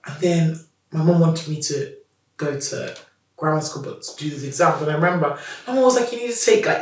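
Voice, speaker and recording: Animated Nigerian woman, roomy and distant. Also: sits low. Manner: animated, laughs often, restarts sentences. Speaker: business founder, guest. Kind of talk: candid storytelling interview.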